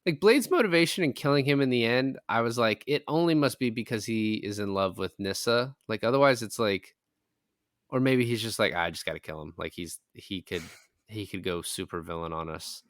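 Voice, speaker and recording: A man's voice: fairly low pitch, fairly quick, no room sound, studio quality. A man in a casual film discussion, retelling things in a rambling way with some swearing.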